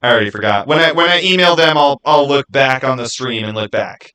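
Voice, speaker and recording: A man's voice: mid-range voice, brisk, pushed and bright, clear, resonant tone. A man hosting a live stream, talking casually with viewers in an emphatic, insistent way and often repeating his phrases. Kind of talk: casual live chat with viewers, arguing game theories.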